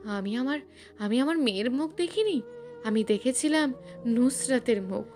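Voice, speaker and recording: A woman's voice: fairly high pitch, animated, moderate pace, a little mellow, soft background music, lightly acted. A woman narrator voicing a guilt-ridden, frightened middle-aged father in a story, haunted and pleading. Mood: haunted, insistent.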